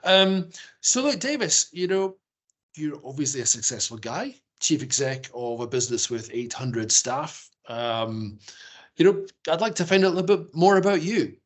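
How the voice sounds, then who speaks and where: A man's voice: a little high, animated, moderate pace. A man hosting a podcast interview, asking questions in a friendly, affirming way with loose fillers. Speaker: podcast host.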